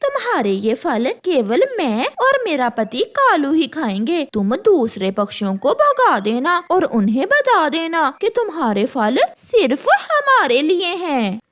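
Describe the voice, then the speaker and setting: High, sly female crow voiced by a woman. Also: huge pitch swings, unhurried, hardly any pauses, exaggerated voice. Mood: smug. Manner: sweet-talking, conniving. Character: scheming female crow, sly, wheedling, bossy wife. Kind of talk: Hindi children's cartoon voice acting.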